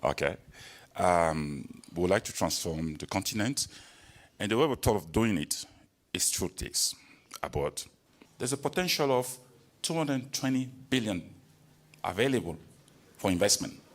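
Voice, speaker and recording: A man, a company co-founder, giving a confident, measured presentation on a conference stage with a handheld microphone. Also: sits low, animated.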